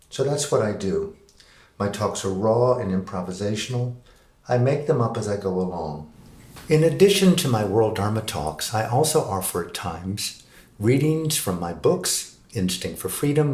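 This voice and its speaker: A man with a low-pitched voice speaks as a meditation teacher and podcast host. His delivery is that of someone addressing listeners directly. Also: a little mellow, roomy sound.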